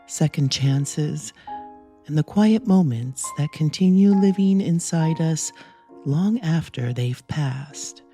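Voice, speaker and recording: A podcast host narrates a scripted story in a mellow, relaxed voice. The delivery is slow, measured and reflective, with music underneath. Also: mid-range voice.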